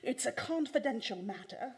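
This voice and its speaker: A woman's voice: fairly high pitch, lively intonation, normal speed, close to an everyday voice. A woman playing a prim, worried department store executive in a comic radio drama, stiffly polite and hesitant. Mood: discreet, uneasy.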